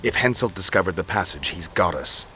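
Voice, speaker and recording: A man's voice: low-pitched, animated, brisk, sharp tone, a little hiss or hum, poor audio. An actor playing a stoic, dry-witted monster-hunting swordsman in a fantasy video game, terse and wry. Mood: dry, composed.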